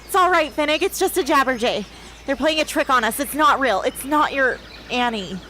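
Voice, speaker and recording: A woman's voice: high-pitched, lively intonation, moderate pace, pushed and bright. A woman playing an anguished, protective teenage girl fighting to survive in an amateur radio drama, urgent and emotional. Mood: panicked. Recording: noisy, with birds and outdoor ambience.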